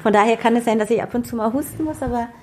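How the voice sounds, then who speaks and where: A woman interviewing a guest, speaking with a cold, asking questions slowly and humming along as she listens. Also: on the high side, background noise. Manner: slow, lively questioning with many soft backchannel hums. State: has a cold. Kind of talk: casual interview.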